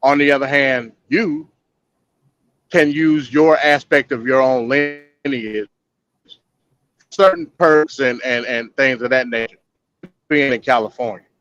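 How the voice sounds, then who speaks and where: A man's voice: medium pitch, measured pace, pauses often, fairly bright tone, dull audio. Probing man hosting a live call-in. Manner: probing, repeats words while pressing a point. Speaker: host.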